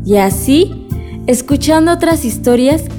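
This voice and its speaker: High-voiced, supportive young activist. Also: female voice, expressive, measured pace, music in the background. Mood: earnest. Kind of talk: radio drama dialogue.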